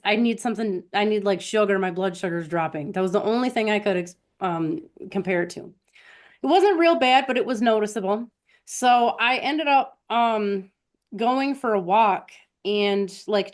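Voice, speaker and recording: Chatty woman hosting a casual live stream. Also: mid-range voice. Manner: informal and chatty, talking directly to listeners. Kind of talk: casual conversational storytelling to a live audience.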